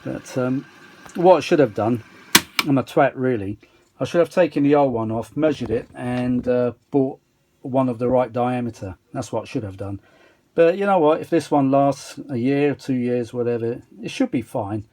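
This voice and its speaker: A man's voice: mid-range voice, normal speed, a little mellow. A man giving a casual, rambling hobbyist demonstration, chatty and self-deprecating, with muttered asides. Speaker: vintage audio hobbyist presenting a restoration.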